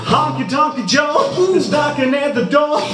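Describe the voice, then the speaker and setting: A male tribute singer belting out rock and roll party songs in a loud, showy way. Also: very high-pitched, measured pace, distant mic. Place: small home kitchen, indoors. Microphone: handheld microphone. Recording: echoey room with loud backing music.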